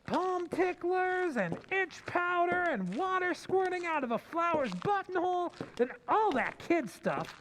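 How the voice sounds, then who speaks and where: A man's voice: very high-pitched, very animated, measured pace, few pauses, fairly bright tone, exaggerated voice. An actor playing a cynical, slangy young man griping to his fiancée in an old-time radio drama, over some noise. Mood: sardonic griping. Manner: slangy, sardonic, exaggerating.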